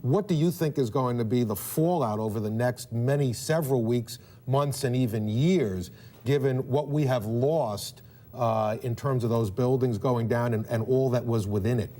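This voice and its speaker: An American man hosting a live news interview, asking questions in a halting, deliberate way and repeating words mid-sentence. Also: medium pitch, mellow.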